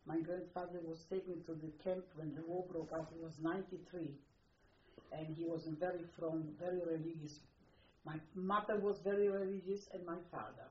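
An older woman from Poland with a Polish accent, speaking in an oral history interview. Her phrasing is halting and non-native, and she repeats words as she searches for them. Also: lowish voice, steady tone, warm tone, slight background noise. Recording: muffled roomy archival tape.

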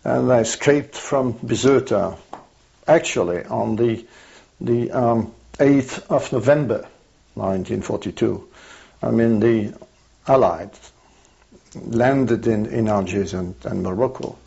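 Gravelly older Frenchman, halting. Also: medium pitch, warm tone. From France. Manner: hesitant, many fillers and restarts. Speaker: interviewee. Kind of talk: oral history interview. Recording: dull lo-fi archival tape.

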